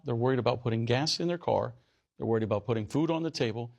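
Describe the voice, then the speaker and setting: A man's voice: mid-range voice, quick pace, creaky, a little mellow. A man giving an interview soundbite, making plain points with repeated emphasis.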